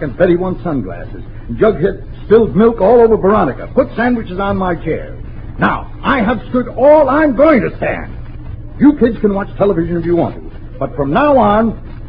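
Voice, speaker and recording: An exasperated middle-aged American suburban father in a 1940s radio comedy. His voice is high and swings widely as he sputters and stresses his words. The old recording is muffled, with some noise. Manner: sputtering, emphatic.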